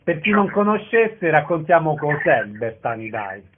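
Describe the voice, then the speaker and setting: A man's voice: on the high side, very animated, unhurried, slightly rough, phone-quality sound. A man working as a radio interviewer, announcing details in an orderly, formal way and putting questions to guests. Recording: thin telephone-band sound.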